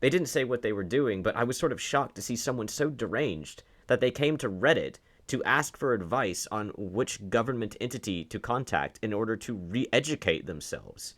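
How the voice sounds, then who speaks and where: A man with a fairly low voice delivers opinionated online commentary. His manner is argumentative and sarcastic, and he leans on rhetorical questions to press his case. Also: animated, normal speed, hardly any pauses, fairly bright tone.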